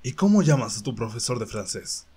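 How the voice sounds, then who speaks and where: A stern, haughty older schoolmaster acted by a man in a dubbed teen drama. He speaks Latin American Spanish in a pompous, grilling way, with his pitch rising and falling sharply. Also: very animated, brisk, very noisy, lightly acted. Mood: indignant. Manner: pompous, interrogating. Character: stern older male French department head, indignant and haughty.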